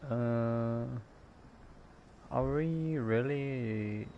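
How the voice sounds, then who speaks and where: A man's voice: medium pitch, extremely slow, highs cut off, slight background noise. A man doing casual game playthrough commentary and reading dialogue aloud in an offhand, joking way. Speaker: gamer, streamer. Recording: game music behind.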